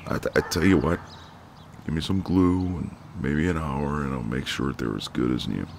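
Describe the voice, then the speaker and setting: A man playing a friendly, gallant, gently teasing ex-marine neighbour in an intimate, easygoing one-sided roleplay. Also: a bass voice, moderate pace, vocal fry, slight background noise. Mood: gently teasing, insistent. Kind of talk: intimate ASMR roleplay, one side of a conversation.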